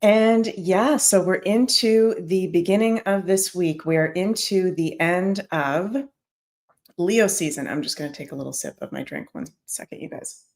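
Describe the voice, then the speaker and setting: A woman's voice: fairly low pitch, a little slow, darkish tone. Woman astrology host, a bit scratchy. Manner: chatty, addresses viewers as "you guys". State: slightly scratchy voice. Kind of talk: casual daily horoscope talk to viewers.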